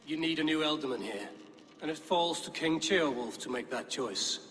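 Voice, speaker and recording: An actor playing a stern warrior investigator in a video game, speaking tersely and matter-of-factly. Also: male voice, a little high, expressive, normal speed, fairly bright tone. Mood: blunt, stern. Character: stern, blunt male warrior investigator, adult. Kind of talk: dramatic video game acting. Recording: long hall-like reverb.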